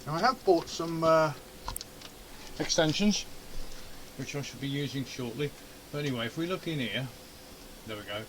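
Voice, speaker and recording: A man's voice: fairly high pitch, normal speed, long pauses, noisy background. A man giving a casual running commentary on a hands-on repair, grumbling and muttering to himself as he goes. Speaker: amateur radio hobbyist and video host. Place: indoor home radio shack.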